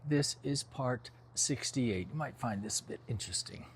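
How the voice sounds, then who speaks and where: An older man from New Zealand who was raised in Los Angeles, reading his own literary memoir-style novel in a measured, reflective manner with brief informal asides. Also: medium pitch, husky. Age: over 60.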